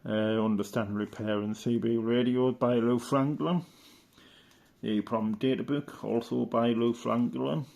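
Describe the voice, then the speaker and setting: A man's voice: mid-range voice, fairly even intonation, a little slow, creaky, darkish tone. A man giving casual, rambling show-and-tell commentary as a hobbyist, matter-of-fact and fond of tag questions. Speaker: hobbyist presenter.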